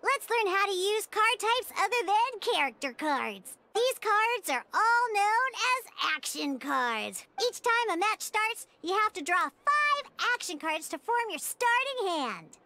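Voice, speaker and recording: Peppy childlike tutorial mascot for a video game: piercingly high, animated melody, eager and chirpy. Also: female voice, unhurried, few pauses, full character voice. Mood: upbeat, instructive. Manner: eager, chirpy, expressive exclamations. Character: peppy young cartoon game mascot guiding a card tutorial.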